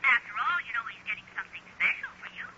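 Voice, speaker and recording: A woman's voice: a little high, lively intonation, fast, natural voice. An actress playing a genteel, well-meaning older mother, coaxing and chatty, in a 1940s radio sitcom. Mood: coaxing, advising. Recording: noisy, dull vintage radio recording.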